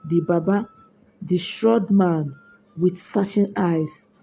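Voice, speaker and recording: A woman tells a scripted folktale at an unhurried, deliberate pace. Her voice is mellow and rather husky, sitting a little low. Soft music plays behind her. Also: rough recording. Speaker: storyteller. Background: background music.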